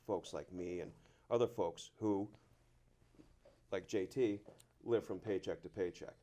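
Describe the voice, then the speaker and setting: A man talking casually as a panel guest, plain-spoken and somewhat halting. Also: sits low, dark tone. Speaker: panel guest, public works worker. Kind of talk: casual chat.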